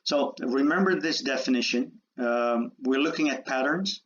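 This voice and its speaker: A man born and raised in the Netherlands giving a university lecture, halting with many fillers and restarts. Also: mid-range voice. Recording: dull lo-fi lecture audio.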